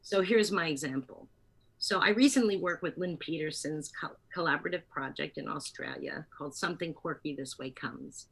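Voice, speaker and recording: A woman with a slightly low voice speaks as a theater producer in an interview. She is conversational, sometimes reading from notes, and often pauses or corrects herself as she goes. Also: a bit bright, husky.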